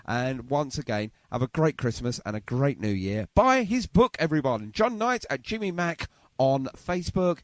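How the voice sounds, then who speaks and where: A man's voice: mid-range voice, expressive, moderate pace, hardly any pauses, dry recording. A man hosting a casual radio interview in a chatty, effusive way, often repeating his phrases. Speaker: radio show host.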